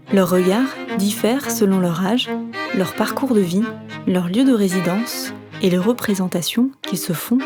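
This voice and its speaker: A woman narrates a documentary in a calm, measured voice-over. Her pitch sits in the middle for a woman. Bowed strings play clearly under her. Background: string music.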